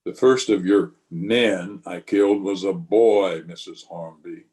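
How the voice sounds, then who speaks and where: A weary frontier lawman, played by a man in a radio western. The voice is on the low side, grave and plainspoken. He sounds burdened by conscience, with no bluster at all. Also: unhurried, darkish tone. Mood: dry, weary. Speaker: actor and narrator in an amateur radio play. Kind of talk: old-time radio western acting. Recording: voice recorded over an internet call.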